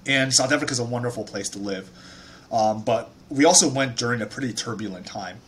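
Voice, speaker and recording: A man's voice: on the low side, a little hiss or hum. A man in his thirties from Taiwan, a startup founder telling his life story in a casual interview, chatty with many fillers and restarts.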